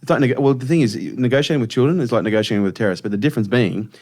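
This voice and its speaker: Fast-talking Australian podcast host. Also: male voice, medium pitch, mellow. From Brisbane, Australia. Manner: enthusiastic, quick chat with many agreements. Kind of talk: podcast interview.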